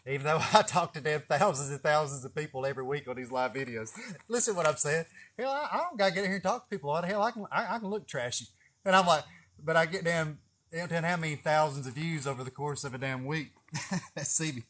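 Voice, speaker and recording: A man with a fairly high voice and a Southern drawl from East Tennessee talks casually to a live audience online. He rambles from one story to the next in a folksy, salty, cussing way. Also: fast, fairly bright tone, slight background noise. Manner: folksy, profane, chuckling storyteller. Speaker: snake catcher hosting a live video. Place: greenhouse garden.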